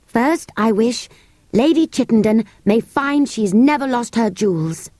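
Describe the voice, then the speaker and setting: A woman voicing an earnest, bold young girl in a storybook, with an eager, coaxing and gentle manner. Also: on the high side, animated, a little slow, no echo, pitched above the performer's own voice. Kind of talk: storybook character voice.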